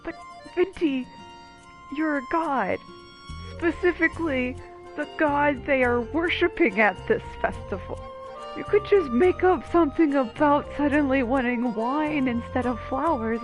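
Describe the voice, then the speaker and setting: A woman's voice: sits high, unhurried, poor audio. A woman streamer giving casual playthrough commentary, full of sarcastic, teasing asides to the characters. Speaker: game streamer. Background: video game music.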